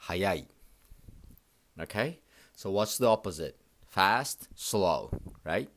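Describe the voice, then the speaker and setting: Deep-voiced man teaching slowly. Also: lively intonation, fairly bright tone. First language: Japanese. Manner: repeats words slowly for learners, frequent "okay?" checks. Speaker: podcast host teaching Japanese. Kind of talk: casual language lesson.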